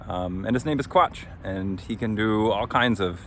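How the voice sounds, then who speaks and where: A man's voice: fairly low pitch, normal speed, a bit bright. A man hosting a podcast as its author, giving a casual, unscripted recap and searching for his words. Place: outdoors under a tree. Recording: faint outdoor traffic-like noise. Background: faint outdoor urban ambience.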